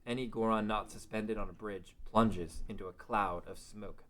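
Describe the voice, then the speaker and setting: A man with a fairly low voice narrates a fantasy adventure. He reads scripted stage directions as the narrator of a table read. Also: steady tone, moderate pace, a bit bright.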